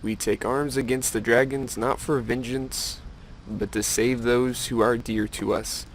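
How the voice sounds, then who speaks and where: A man's voice: mid-range voice, fairly even intonation, measured pace, a little hiss or hum, lightly acted, poor audio. A man voicing a devout, sorrowful female elf priestess as he reads game quest dialogue aloud in an earnest way. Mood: solemn.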